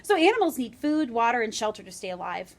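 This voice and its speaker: A woman's voice: a little high, sing-song, quick pace. A woman teacher reading a story aloud to first graders and encouraging them along. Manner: warm, encouraging teacher talk.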